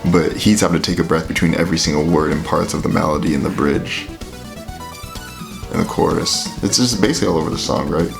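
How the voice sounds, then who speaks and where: A man with a very deep voice giving casual, filler-heavy commentary while reacting to a song. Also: fast, creaky. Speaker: reaction video host. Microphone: headphones with mic. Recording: music playing under the voice. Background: music playing.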